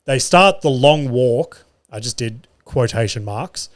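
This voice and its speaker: A young man rambles through stories on his podcast, swearing freely and chuckling at his own punchlines. His voice sits at an everyday middle pitch, recorded in a studio. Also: expressive, unhurried. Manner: loose and sweary, laughs at his own jokes. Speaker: podcast host and comedian. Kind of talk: casual solo podcast storytelling.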